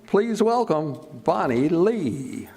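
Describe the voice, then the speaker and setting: A man's voice: on the high side, very animated, slow, gravelly, dark tone, slightly rough. A man announcing and reading sponsor copy in the style of an old-time radio presenter, with showman-like delivery. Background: music.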